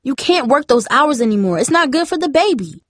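A woman's voice: mid-range voice, lively intonation, normal speed, dry recording. Teenage sister, acted by a woman reader: defiant, wounded, indignant, audiobook fiction, dry recording. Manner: indignant, stubborn. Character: defiant, hurt teenage girl, the outspoken sister.

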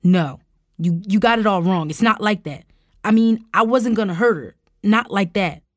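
A woman's voice: on the high side, expressive, slow, no echo. A shifty, guilty man, voiced by a woman narrator in an audiobook drama. He stammers and pleads defensively, with a dry, close sound. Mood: desperate denial. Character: guilty, evasive uncle in his twenties, pleading.

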